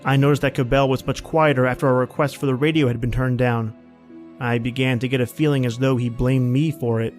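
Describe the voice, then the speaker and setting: A man's voice: medium pitch, steady tone, fairly quick, faint music behind, close to an everyday voice. An actor playing a plain-spoken man at a snowbound outpost, telling his story in a folksy, conversational old-time radio style. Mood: reflective.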